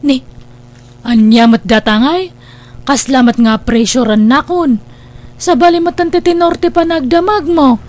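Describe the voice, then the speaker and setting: A woman's voice: sits high, measured pace, a little mellow. A woman with an Ilocano accent playing a reluctant, stubborn young girlfriend in a comedy drama. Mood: firm, placating. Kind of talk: comedy radio-style drama acting.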